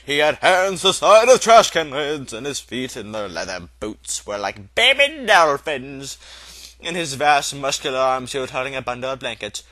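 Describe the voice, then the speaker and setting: A man's voice: medium pitch, sing-song, moderate pace, sharp tone, dry recording. A man narrating a storybook aloud as an amateur reader, in a theatrical, loosely performed style.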